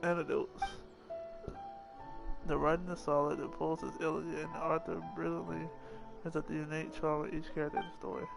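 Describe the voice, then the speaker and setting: Creaky-voiced man reading game text aloud. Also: fairly high pitch, level tone, music in the background, low-quality recording. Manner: halting reading with stumbles and self-corrections. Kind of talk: casual read-aloud gameplay commentary.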